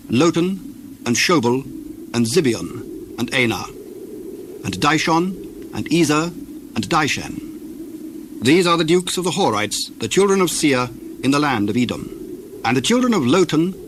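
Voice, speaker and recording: A male narrator with a medium-pitched voice reads scripture solemnly. His phrasing is deliberate and set apart by pauses, over faint ambient noise on an older recording.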